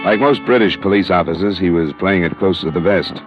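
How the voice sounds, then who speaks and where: Hard-boiled American private investigator, male actor, very low voice, terse, vintage radio sound with some music. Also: a little fast, lightly acted. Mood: cool, inquiring. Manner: clipped, dry, matter-of-fact. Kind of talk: 1950s radio detective narration and dialogue. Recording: dull vintage radio recording.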